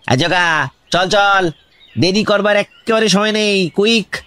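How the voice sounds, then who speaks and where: An actor playing a bustling, self-important police officer in a comic radio drama, bossy and commanding. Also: male voice, high-pitched, slow pace, pushed and bright, no echo, exaggerated voice. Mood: urgent, bossy. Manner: pompous, commanding, dotted with English words.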